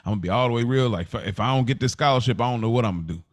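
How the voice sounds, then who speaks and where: A man's voice: medium pitch, racing, no echo. An American man hosting a podcast, chatting casually and telling stories in slangy speech, acting out remembered exchanges. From United States, Kentucky and Indiana. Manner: animated, slangy storyteller who acts out remembered conversations. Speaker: podcast host. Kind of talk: casual storytelling chat.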